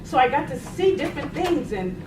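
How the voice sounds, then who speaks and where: A woman's voice: high voice, very animated, a little fast. A middle-aged woman from Boston speaking on a panel in a chatty way, laughing as she tells stories. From Boston, United States. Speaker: panelist, science agency program director. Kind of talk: conversational panel talk. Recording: echoey room, distant mic, noisy.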